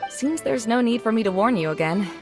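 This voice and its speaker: A woman voicing a calm, practical guide in a video game, matter-of-fact and informative. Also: on the high side, fairly quick, over music. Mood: calm, explaining.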